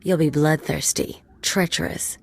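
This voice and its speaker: Low, husky, flirtatious enforcer. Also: female voice, fairly even intonation, unhurried, heavily acted. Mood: taunting, flirtatious. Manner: sultry, taunting. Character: swaggering flirtatious female enforcer, menacing and playful. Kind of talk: video game character acting.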